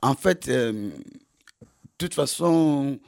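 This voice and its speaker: A man from Nigeria whose first language is English, speaking French as a festival organizer in an interview, halting with many fillers and restarts. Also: on the high side, vocal fry, darkish tone. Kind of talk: radio interview.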